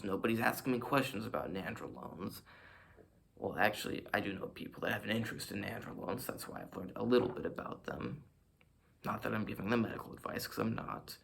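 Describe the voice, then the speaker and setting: Gravelly man rambling to camera. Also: on the low side, fairly bright tone. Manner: rambling, self-mocking, digresses while flexing on camera. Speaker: fitness vlogger. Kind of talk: casual rambling monologue to camera.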